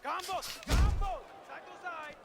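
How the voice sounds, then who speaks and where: A man playing a commanding boxing trainer in a video game, shouting clipped instructions from the corner. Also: very high-pitched, unhurried, creaky, exaggerated voice. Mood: urgent. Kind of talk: video game character barks. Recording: distant shouts in loud arena noise.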